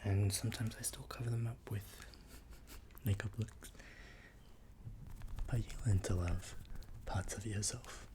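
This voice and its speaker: A man doing an ASMR roleplay in a breathy whisper, speaking gently and reassuringly like a big brother, close to the microphone. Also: on the low side, steady tone, a little slow, pauses often, background noise. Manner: gentle, reassuring big-brother patter, repeating words softly.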